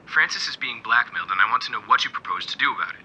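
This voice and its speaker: Tense, husky young hothead. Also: male voice, mid-range voice, lively intonation, fast talker, sharp tone, heavily acted, poor audio. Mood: agitated, demanding. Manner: agitated, demanding. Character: impulsive, hot-headed young male suitor. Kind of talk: radio drama acting.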